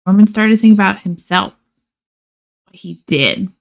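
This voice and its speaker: A woman reading a children's picture book aloud expressively, with measured dramatic pauses and emphasis. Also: medium pitch, creaky. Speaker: storybook reader.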